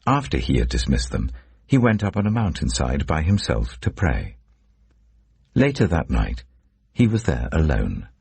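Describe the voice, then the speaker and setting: A man reading scripture as a narrator, in a solemn, measured, dramatic storytelling delivery. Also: very deep voice, gravelly, darkish tone, breathy edge, dry recording. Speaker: Bible narrator.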